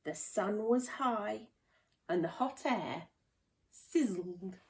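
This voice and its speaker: A woman reading a children's storybook aloud in a deliberate, dramatic storytelling manner. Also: medium pitch, animated, a little mellow. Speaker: play therapist reading a children's book. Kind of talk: expressive children's storybook narration.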